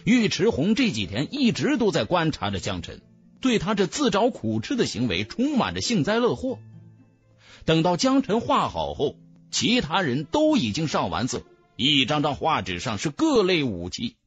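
A man's voice: on the high side, huge pitch swings, moderate pace, breathy edge, faint music behind. A man narrating a fantasy novel as a scripted audiobook, with emphatic, dramatic storytelling.

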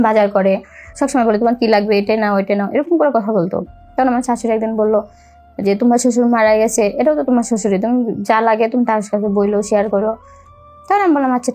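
A young woman with a Bangladeshi accent telling a personal story in a halting, repetitive, colloquial way. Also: a little high, dark tone. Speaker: storyteller recounting own life. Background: music.

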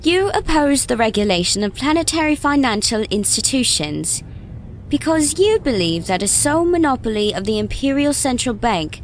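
A woman playing a sassy, inquisitive, defiant reporter in a sci-fi audio drama, expressive and sarcastic. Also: high-pitched, moderate pace, a little hiss or hum. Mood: polite, professional.

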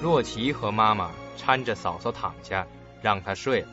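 A man's voice: on the low side, moderate pace. A man narrating a suspense radio drama in a dramatic storytelling style. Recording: dull lo-fi audio, clear music behind.

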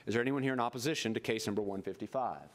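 A man's voice: fairly low pitch, very fast. A middle-aged man speaking formally as a board official at a public meeting, reading procedure into a podium microphone. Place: indoor meeting room.

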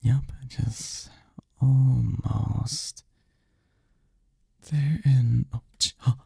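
A man acting a dark, husky, cynical young academic. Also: mid-range voice, steady tone, drawn-out words, no room sound, heavily acted. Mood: casual, distracted. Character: snarky, cynical young research assistant, flustered when hurt. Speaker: audio roleplay performer. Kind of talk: intimate one-sided audio roleplay acting.

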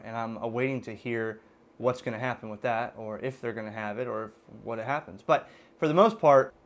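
American man casually explaining a gadget. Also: mid-range voice. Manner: halting, explanatory, thinking aloud. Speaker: ham radio gadget reviewer. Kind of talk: casual unscripted product demonstration. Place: indoors at a desk in a room.

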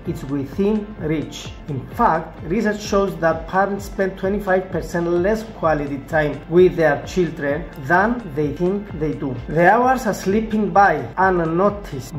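Cypriot Greek man, roomy office sound. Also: high-pitched. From Cyprus. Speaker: wellness consultant pitching an online course. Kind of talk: scripted promotional monologue to camera. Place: small indoor office, seated at a desk. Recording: roomy office with faint background music.

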